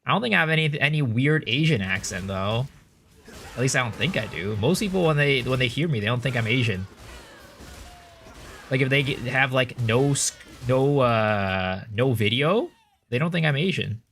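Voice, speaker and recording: A young man with an American accent talks through his game at a home desk mic, his pitch swinging up and down a lot. He chats loosely, muttering to himself, then jumps into loud, excited thanks for viewers. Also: moderate pace, faint music behind. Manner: chatty, thanks viewers with big excited bursts. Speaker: livestreamer. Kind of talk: casual gameplay commentary.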